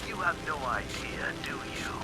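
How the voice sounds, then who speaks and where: Slow, pompous villain mastermind. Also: male voice, a little high, expressive, poor audio. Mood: grandiose. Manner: theatrical, pompous, menacing. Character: megalomaniac villain mastermind, grandiose and scornful. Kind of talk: video game cutscene acting. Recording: very loud music behind the voice.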